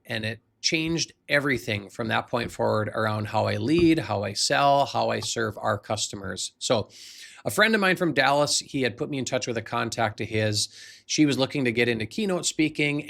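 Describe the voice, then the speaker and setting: A man's voice: medium pitch, vocal fry, fairly bright tone. An American man in his forties, a business coach telling a personal motivational story in an earnest, emphatic, conversational way.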